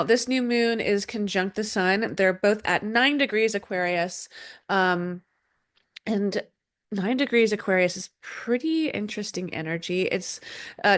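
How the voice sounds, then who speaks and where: A woman's voice: medium pitch, fairly bright tone. Sniffly woman hosting astrology talk. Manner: loose and rambling, many ums and restarts. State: slightly congested, sniffly from a cold. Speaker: astrology podcast host. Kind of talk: casual solo podcast talk. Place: indoor room with dogs. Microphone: desk setup with camera and screen share.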